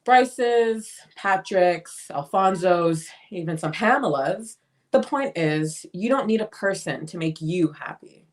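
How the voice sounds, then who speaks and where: A woman's voice: fairly low pitch, expressive, slow pace. Woman playing blunt free spirited sister in a stage play reading, emphatic, full of opinions. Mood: insistent, preachy. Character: blunt, free-spirited sister pushing self-liberation on her sibling.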